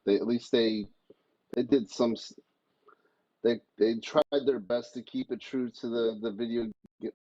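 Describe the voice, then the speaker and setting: A man's voice: mid-range voice, fairly even intonation, unhurried, pauses often, dark tone, no room sound, muffled recording. A man giving casual, blunt and emphatic commentary as a podcast panelist, swearing freely.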